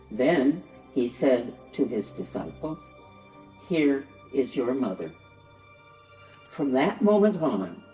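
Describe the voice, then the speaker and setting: Slow, mellow male scripture narrator. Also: medium pitch. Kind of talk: scripted Bible reading in a Passion drama. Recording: echoey, slightly dull, music behind.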